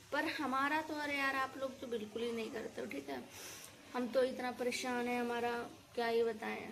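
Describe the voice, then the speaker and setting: A woman's voice: on the high side, slight background noise, poor audio. A woman reaction vlogger speaking casually to her viewers, indignant and scolding, venting in repetitive colloquial phrases. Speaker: reaction video creator. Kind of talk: casual opinionated rant to viewers.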